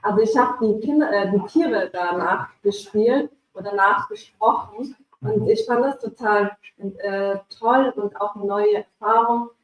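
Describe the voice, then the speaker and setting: A woman's voice: mid-range voice, measured pace, a little hiss or hum. A woman speaking enthusiastically at a live event with many fillers about voicing a children's story. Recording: echoey room, mic at a distance.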